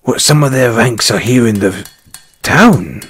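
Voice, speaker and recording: A man playing a kind, gruff dwarf blacksmith and former adventurer in a fantasy audio roleplay, speaking in a folksy, avuncular way. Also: mid-range voice, animated, unhurried, a bit bright. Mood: sympathetic, grim. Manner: hesitant, folksy, avuncular, addresses listener as lad. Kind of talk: fantasy audio roleplay acting, second-person dialogue.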